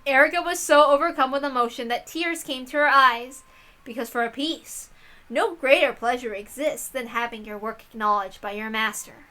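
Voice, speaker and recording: A woman's voice: sits high, normal speed, bright, forceful tone. A woman reading a visual novel aloud as dramatic scripted narration.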